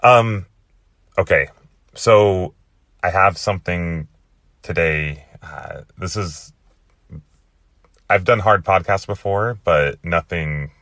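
A young man with a hoarse, worn-out voice hosting his podcast in a rambling, chatty way. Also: deep voice, takes it slowly, long pauses, a bit bright, dry recording. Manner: chatty, rambling with many fillers. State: hoarse, lost voice. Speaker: podcast host. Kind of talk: casual monologue.

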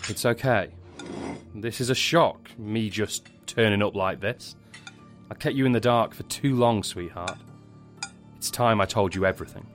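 An actor playing a burdened, middle-aged father in an audio drama, speaking earnestly and haltingly. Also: on the low side, soft background music, lightly acted. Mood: gently disapproving. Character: protective, burdened father of a teenage girl.

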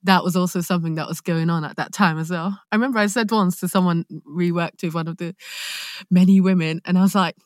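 A young woman chatting casually as a podcast guest, telling stories in an animated way and laughing readily. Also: fairly low pitch, quick pace, no echo, clean studio sound. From London, born in Nigeria.

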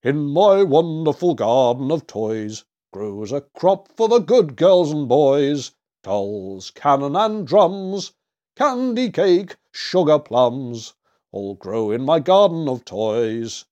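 Jolly old Santa acted by a male storyteller, hearty, loud, drawn-out sing-song words, swooping pitch, storybook style. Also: very animated, highs cut off. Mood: merry singing. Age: older. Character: merry old Santa Claus, jolly, booming, singing as he works.

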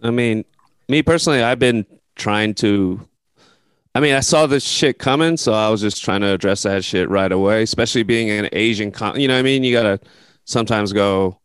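A man's voice: lowish voice, fairly quick, smooth, no echo. A man who is a stand-up comedian chatting casually as a guest, bantering loosely and swearing. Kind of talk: casual video-call chat.

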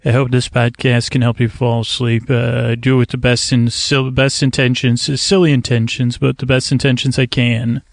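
A man hosting a sleep podcast with a creaky voice, soothing and meandering, often halting to correct himself and drifting into tangents. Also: medium pitch, level tone, no echo, studio quality. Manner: soothing, lulling delivery. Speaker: podcast host. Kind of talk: rambling sleep-podcast monologue. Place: outdoors, in a kayak on a river.

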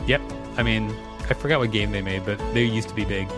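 A man's voice: fairly low pitch, fairly quick. A man reading an encyclopedia article aloud as a podcast co-host, in scripted narration with the odd casual aside. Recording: music behind the voice. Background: instrumental music.

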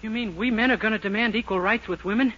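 A man's voice: high-pitched, fast talker, close to an everyday voice. An actor playing a naive, hapless, boyish young man, easily flustered, in a 1940s radio sitcom. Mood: sheepish, bewildered. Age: young adult. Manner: boyish, innocent, literal-minded. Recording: noisy, muffled 1940s radio recording.

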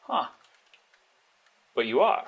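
An actor playing a nervous, earnest superhero investigator in an audio drama, speaking in a halting, analytical way. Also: male voice, phone-quality sound, close to an everyday voice. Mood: curious. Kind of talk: naturalistic audio drama monologue and phone calls.

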